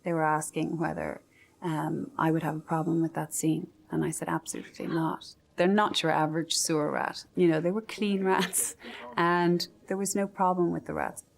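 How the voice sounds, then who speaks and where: Gravelly-voiced woman recalling her work. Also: sits low, flat delivery, moderate pace. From Ireland. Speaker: actress. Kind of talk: reflective interview recollection.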